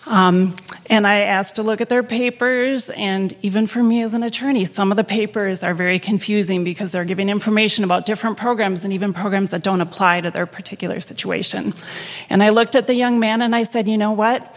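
A woman's voice: mid-range voice, fairly even intonation, a little fast. A woman attorney on a panel, telling a client story in a plain, explanatory way. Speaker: immigration attorney. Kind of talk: panel discussion. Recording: long hall reverb.

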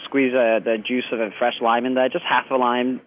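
A man's voice: medium pitch, flat delivery, moderate pace, a little hiss or hum. A man from Liverpool, England, a bartender chatting casually over a tinny phone line. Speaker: bartender guest. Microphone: telephone.

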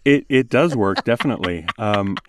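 Low-voiced man in interview conversation. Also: expressive, normal speed, raised voice, noisy background. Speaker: podcast host and producer, voice actor.

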